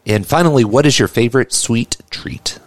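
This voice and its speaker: A man's voice: medium pitch, normal speed, no echo. A man reading a list of set questions as an interviewer. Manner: evenly reads set questions.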